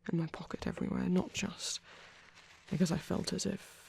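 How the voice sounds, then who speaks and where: A soft, half-whispered voice with a creaky edge from a man playing a part gives life to a caring vampire priest in an ASMR roleplay. He speaks tenderly and without hurry, pausing gently as he comforts a little one. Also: sits high, little pitch movement. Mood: soothing, reflective. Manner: tender, unhurried, hesitant pauses. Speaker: ASMR performer.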